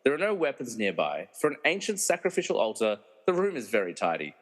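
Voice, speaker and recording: A man's voice: mid-range voice, huge pitch swings, fast. A man playing a wry narrator in a comedy radio play, reading dramatic narration with mocking asides. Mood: suspenseful. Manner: wry, theatrical. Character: wry, meddling movie narrator who mocks the characters. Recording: reverberant hall-like echo.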